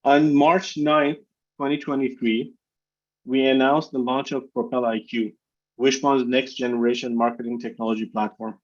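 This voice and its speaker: A man's voice: medium pitch, little pitch movement, moderate pace. A man hosting a video conference call as chief executive, formally reading prepared corporate remarks. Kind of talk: scripted corporate presentation on an earnings call. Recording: dull, lo-fi conference call audio.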